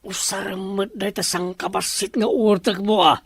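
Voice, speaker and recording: A woman's voice: medium pitch, steady tone, moderate pace, breathy edge, dry recording. Woman acting a secretive, scheming character, dry sound. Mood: firm, instructing. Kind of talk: Ilocano radio drama acting.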